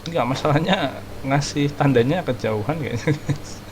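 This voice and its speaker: A man's voice: fairly high pitch, normal speed, background noise. An Indonesian man doing casual, slangy let's play commentary, muttering along with the action of the game. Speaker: gaming streamer. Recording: loud game music behind voice. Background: video game music.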